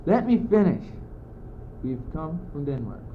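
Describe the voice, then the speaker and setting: Casting: teenage boy as muddled, pedantic male courtier for school radio drama, highish voice, declamatory delivery, phone-like old recording. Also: big pitch swings, measured pace. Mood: insistent. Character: argumentative, muddled but bossy male courtier, reasoning pedantically. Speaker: student actor. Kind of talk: amateur school radio play. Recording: muffled, tinny old amateur recording, noisy.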